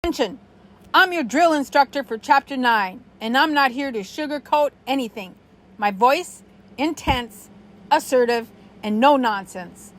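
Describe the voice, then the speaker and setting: A woman's voice: sits high, animated, unhurried. A woman voicing a drill instructor, intense, assertive and no-nonsense. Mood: stern. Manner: barking, assertive.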